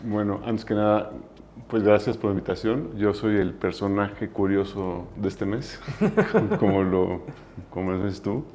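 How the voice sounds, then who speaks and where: Man, Mexican accent, forties, low voice, relaxed storytelling, faint background hiss. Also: measured pace. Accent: Mexican Spanish. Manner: relaxed, self-deprecating, many restarts. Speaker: entrepreneur guest. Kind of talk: casual interview storytelling.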